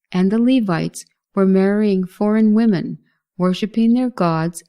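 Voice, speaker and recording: A woman's voice: medium pitch, dark tone. A woman reading a scripted narration of Bible history as a narrator.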